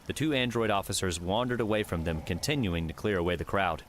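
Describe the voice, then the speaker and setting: A man's voice: sits low, quick pace, a little hiss or hum. A man reading scripted audiobook narration as the storyteller.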